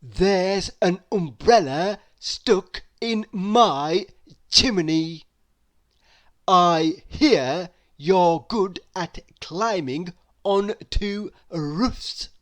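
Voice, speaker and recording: Woman as a slow, haughty rich man. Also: lowish voice. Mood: haughty, demanding. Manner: haughty, drawn-out, emphatic. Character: pompous, demanding uppity rich man.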